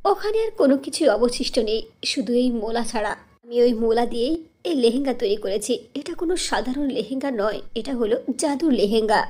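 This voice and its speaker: A gentle mother's ghost from a cartoon fairy tale, as a woman plays her. She speaks in a solemn, soothing way. Her delivery is motherly and reassuring, as if to her children. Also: fairly high pitch, animated, moderate pace. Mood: solemn, instructing.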